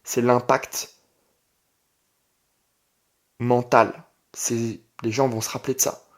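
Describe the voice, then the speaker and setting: A man's voice: medium pitch, flat delivery, slow pace. Young Frenchman talking off the cuff, emphatic. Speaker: online entrepreneur and video creator. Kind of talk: casual vlog monologue.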